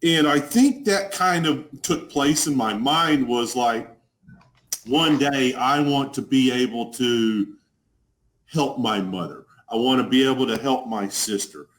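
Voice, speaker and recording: A man's voice: mid-range voice, measured pace, a bit bright, low-quality recording. A man in his forties from Dallas with a strong Texas accent, telling his recovery story as a guest on a video call, earnest and plain-spoken, often repeating a word as he starts. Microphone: video call webcam.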